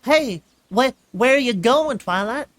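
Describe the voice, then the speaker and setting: A reader voicing an excitable little filly pony girl, chatty and pleading in a squeaky voice. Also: measured pace, no echo. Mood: excited. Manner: squeaky, shrill and bouncy.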